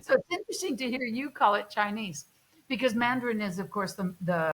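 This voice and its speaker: A woman's voice: on the high side, expressive, a little fast. Chatty woman hosting an interview. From Canada. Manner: chatty, empathetic, shares her own stories. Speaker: interview host. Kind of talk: casual interview chat.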